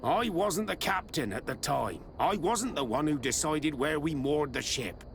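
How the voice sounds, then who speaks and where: An actor playing a gruff, wily old sea captain in a video game, with gravelly speech. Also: male voice, a little high, lively intonation, a little slow, noisy background. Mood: guarded. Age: older. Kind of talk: video game cutscene acting.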